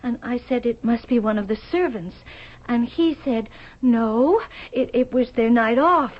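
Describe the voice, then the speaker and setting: An actress playing a put-upon showgirl wife in an old-time radio drama, with a halting, flustered way of talking. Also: on the high side, lively intonation. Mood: shaken, halting. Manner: halting, breathless repetition. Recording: dull lo-fi radio broadcast recording.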